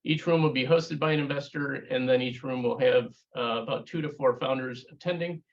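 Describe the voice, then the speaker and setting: A man with a gravelly voice hosts a webinar, halting and full of uh fillers. He sounds far from the mic over dull, muffled video call audio. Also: medium pitch. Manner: hesitant, with many uh fillers. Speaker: event host. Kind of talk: hosting a webinar.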